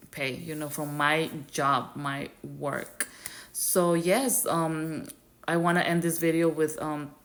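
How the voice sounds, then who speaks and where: A young woman from Ecuador giving rambling, earnest advice to her viewers in a casual vlog, with lots of fillers. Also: low voice. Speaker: YouTube creator. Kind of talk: casual vlog talk to camera.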